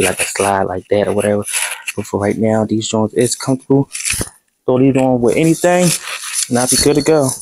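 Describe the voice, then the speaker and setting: A young man chatting casually to his vlog viewers while he works in his kitchen at home. He talks in a relaxed, chatty way and keeps calling the audience "y'all". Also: fairly low pitch, low-quality recording. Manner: laid-back, chatty, addresses viewers as y'all. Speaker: vlogger.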